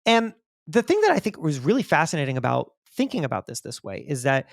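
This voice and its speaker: A man's voice: a little high, big pitch swings, a little fast, gravelly, no echo, studio quality. A middle-aged man telling stories as an interview guest, emphatic and repeating phrases for effect. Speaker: podcast guest, magazine editor and author. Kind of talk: animated storytelling in an interview.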